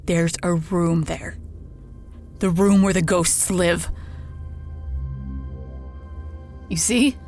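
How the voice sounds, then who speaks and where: An actress playing a haunted woman in an audio drama, confiding a ghost story in an anxious, halting, repetitive way. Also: on the low side, steady tone, darkish tone, faint music behind. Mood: eerie, intent.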